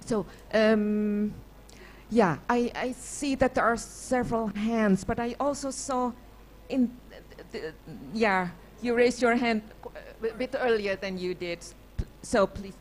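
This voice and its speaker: A woman moderating a panel, giving the floor and urging speakers to keep things short. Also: fairly high pitch. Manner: brisk, keeping time.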